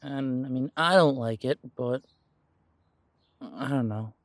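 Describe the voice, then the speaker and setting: A man playing an insecure, defensive werewolf in an audio roleplay, speaking in a halting, stammering way as if confiding in someone close. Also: medium pitch, no echo, lightly acted. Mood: worried, confiding. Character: insecure pure-blood male werewolf, self-doubting, defensive. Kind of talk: audio roleplay drama, one side of an intimate conversation.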